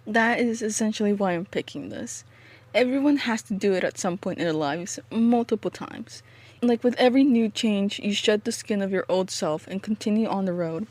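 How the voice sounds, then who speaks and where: Listener voice-message part: female voice, average pitch, sincere, reflective, informally sharing opinions. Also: normal speed, a little mellow. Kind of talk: casual prepared opinion message.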